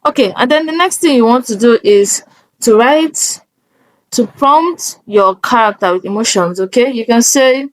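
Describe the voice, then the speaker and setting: A woman's voice: on the high side, lively intonation, a little slow, no echo, low-quality recording. A woman presenting a casual step-by-step tutorial, instructive and often checking that the listener is following.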